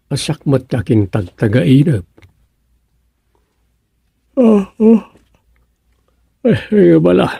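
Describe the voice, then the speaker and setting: A man acting a droll, easygoing village daydreamer in an Ilocano radio comedy, with an Ilocano accent and a gravelly, husky voice. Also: medium pitch, dark tone, dry recording, full character voice. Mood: musing, muttering.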